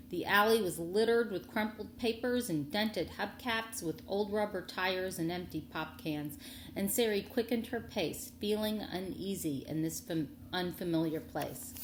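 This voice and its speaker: A woman narrating a storybook aloud in an expressive reading style. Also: lowish voice. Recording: echoey room, faint background noise.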